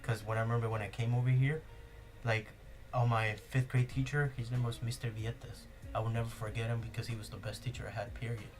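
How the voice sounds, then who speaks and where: A man's voice: medium pitch, level tone, brisk. A young man, a native Spanish speaker with a Spanish accent, chatting casually and rambling with lots of fillers on a podcast. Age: young adult. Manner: rambling, many fillers and restarts. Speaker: podcast host. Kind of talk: casual chat.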